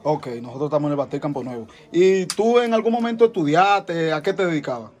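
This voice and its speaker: A man with a Dominican Spanish accent interviewing a guest, friendly and chatty, with quick follow-up questions. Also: fairly high pitch, lively intonation, a little mellow. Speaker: interviewer, host. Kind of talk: casual interview.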